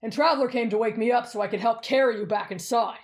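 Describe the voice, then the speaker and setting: A woman voicing a brash, bossy young male warrior in an anime fan roleplay, blunt and gruff in manner. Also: fairly high pitch, fast, lightly acted. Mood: grumpy, blunt.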